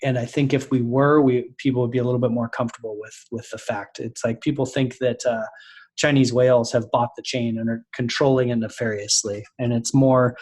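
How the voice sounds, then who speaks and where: Halting mid-pitched man, podcast host. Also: little pitch movement, darkish tone, breathy edge. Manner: hesitant, halting with many uh and restarts. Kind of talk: casual podcast conversation.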